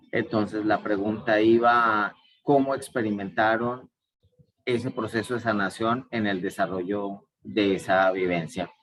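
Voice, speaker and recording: A man's voice: fairly low pitch, rough recording. A man moderating an online student forum, politely handing over turns.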